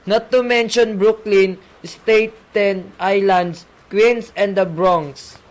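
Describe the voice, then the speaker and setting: Man acting high-voiced, placating police sergeant. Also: steady tone, takes it slowly, poor audio. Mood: skeptical. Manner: placating, matter-of-fact. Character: patient, dismissive police sergeant taking a call. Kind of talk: amateur radio play acting.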